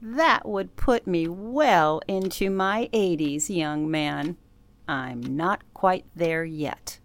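Woman playing a slow-spoken, composed elderly lady. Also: lowish voice. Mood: gently chiding. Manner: measured, formal, deliberate phrasing. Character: composed elderly woman, former telephone operator, politely firm. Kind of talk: radio drama interview acting.

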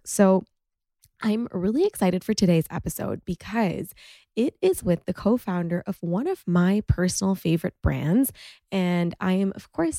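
Woman podcast host, stuffy from a cough, low-pitched with gravelly fry; chatty, gushing delivery on a dry close mic. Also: dark tone, no room sound. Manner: chatty, gushing, many fillers like "like" and "um". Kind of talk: casual solo podcast intro.

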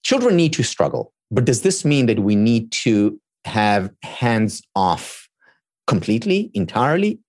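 A man's voice: medium pitch, very animated, unhurried, darkish tone, dry recording, clean studio sound. A man whose first language is Hebrew, a guest giving earnest explanations in an interview and often repeating words as he thinks. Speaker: guest expert. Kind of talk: thoughtful interview talk.

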